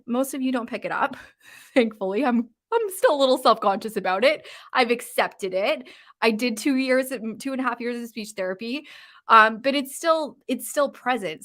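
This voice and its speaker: Canadian west coast woman coaching on a live stream, kinda high voice, rising uptalk, chatty and informal. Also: a bit bright. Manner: uptalk, informal with frequent fillers. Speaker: confidence coach. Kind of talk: casual live-stream talk. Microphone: live-stream mic.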